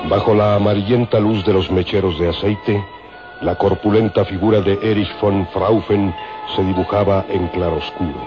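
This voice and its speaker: Deep-voiced male radio serial narrator. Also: steady tone. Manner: solemn, ornate, measured phrasing. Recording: dull old radio recording under loud music.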